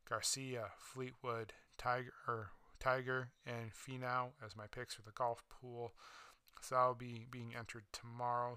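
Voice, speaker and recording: Man, podcast host, casual sports talk, crisp and even, dry close sound. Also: mid-range voice, no room sound. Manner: casual, many fillers and restarts. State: slightly losing his voice. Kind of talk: solo sports podcast ranking.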